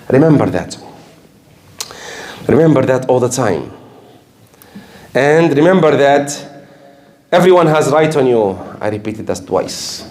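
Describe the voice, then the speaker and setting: A man's voice: medium pitch, darkish tone. An older man preaching a sermon in English, emphatic and repeating his key points. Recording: echoey room.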